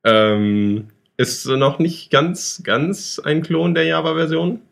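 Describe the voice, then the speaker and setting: A man's voice: a little high, animated, slow, creaky, a bit bright. A young man co-hosting a casual chat, rambling and joking, with frequent fillers and restarts. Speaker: podcast co-host.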